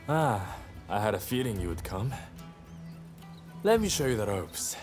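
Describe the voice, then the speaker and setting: Breezy roguish young samurai, lively swings. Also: male voice, lowish voice, a little slow, music in the background. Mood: breezy, teasing. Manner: breezy, playful, teasing. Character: easygoing roguish young samurai gambler, cheeky and carefree. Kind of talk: video game cutscene acting.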